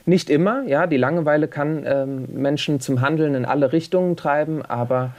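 A man, a neuroscientist, answering interview questions in a measured, explanatory, academic manner with some fillers. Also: a little high, darkish tone. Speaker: neuroscientist guest. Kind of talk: radio interview.

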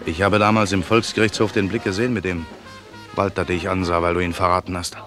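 A man's voice: low-pitched, little pitch movement, fast, fairly bright tone, soft background music. A man narrating and playing Daniel, a bitter man set on revenge, in an earnest, brooding radio play voice. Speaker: narrator and protagonist.

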